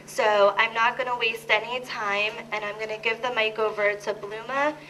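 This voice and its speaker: A woman introducing a guest speaker at an event, talking slowly with many hesitant fillers. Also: fairly high pitch, fairly even intonation, background noise. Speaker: event host.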